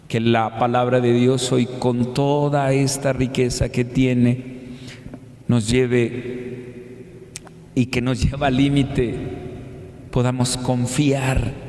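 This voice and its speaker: Mexican male priest, 50s, for a homily: slow, conversational delivery with weighty pauses, recorded in a very reverberant church. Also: mid-range voice, little pitch movement, a little mellow. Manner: conversational, rhetorical questions, deliberate pauses. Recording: echoey hall with long reverb.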